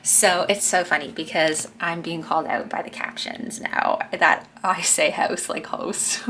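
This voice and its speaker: A woman's voice: fairly low pitch, fairly even intonation, unhurried, pushed and bright, a little hiss or hum. A woman in her thirties from Ontario, with a Canadian Maritime accent, telling stories in a casual vlog. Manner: chatty, laughs while telling stories. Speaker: family vlogger.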